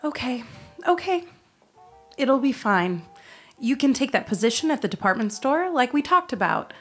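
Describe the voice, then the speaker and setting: An actress playing a weary, practical girlfriend in a period audio drama, speaking with measured, earnest reproach. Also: high-pitched, big pitch swings, fairly bright tone, faint music behind, exaggerated voice. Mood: gentle, reassuring. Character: weary, practical young girlfriend losing faith in a dreamer.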